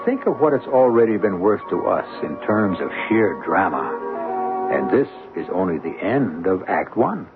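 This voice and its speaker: Low-voiced theatrical radio host, male. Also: big pitch swings, over loud music. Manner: measured, rhetorical, portentous. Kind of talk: theatrical scripted narration. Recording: tinny old radio broadcast.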